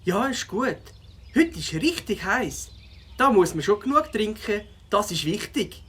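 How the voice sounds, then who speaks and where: A woman's voice: medium pitch, very animated, a little slow, husky. A woman voicing a level-headed, sceptical young beaver boy in a cartoon. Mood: easygoing. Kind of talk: cartoon character acting.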